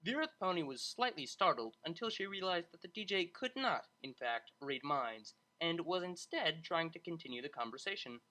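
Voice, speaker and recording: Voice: medium pitch, lively intonation, moderate pace, hardly any pauses, sharp tone, rough recording. A narrator reading a scripted story dramatically, in the role of storyteller.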